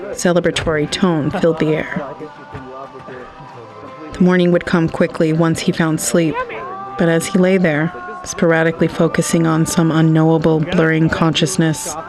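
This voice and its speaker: Even-toned, higher-voiced male narrator. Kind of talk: scripted story narration. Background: faint music.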